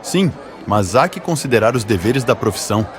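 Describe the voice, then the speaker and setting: An actor playing a generous, mild-mannered ship's physician in an audiobook drama, speaking formally. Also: male voice, mid-range voice, lively intonation, brisk, a little hiss or hum, close to an everyday voice. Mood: hesitant.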